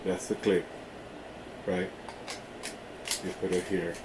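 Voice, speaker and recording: A man giving a casual hands-on product demo in a halting way, often checking in with the listener. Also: low voice, flat delivery. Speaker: product reviewer. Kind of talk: casual hands-on unboxing demonstration. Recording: roomy echo with clear background noise.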